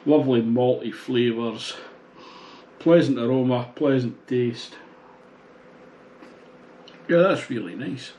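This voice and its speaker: A man in his sixties casually reviewing a beer at home, thinking aloud in a relaxed, musing way with pauses. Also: medium pitch, a little hiss or hum. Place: small home bar room, indoors.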